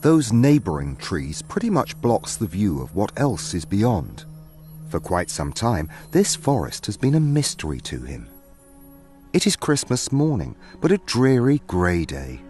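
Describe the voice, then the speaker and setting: A male narrator tells a scripted tale with theatrical care. His voice is deep and moves expressively up and down. Soft music plays underneath. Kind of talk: scripted dramatic story narration.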